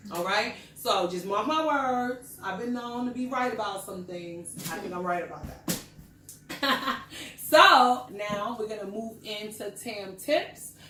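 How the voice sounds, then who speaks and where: A woman's voice: mid-range voice, animated, slow pace, sharp tone, far from the mic. A woman hosting a loose chat show, talking at ease and laughing often, now and then turning preachy. She sits back from the mic in an echoey room. A faint hiss runs underneath. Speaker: podcast host. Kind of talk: casual group chat.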